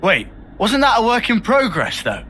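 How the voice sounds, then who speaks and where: Casting: brash young game hero, bright punchy tone and very animated pitch. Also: male voice, normal speed, a little hiss or hum, full character voice. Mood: blunt, frustrated. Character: brash, excitable young male soldier, blunt. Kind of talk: video game cutscene acting.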